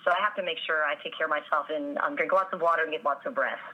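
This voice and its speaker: A voice actress answering interview questions, with a slightly raspy voice and many pauses and ums. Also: female voice, sits low, lo-fi sound. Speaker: voice actress guest.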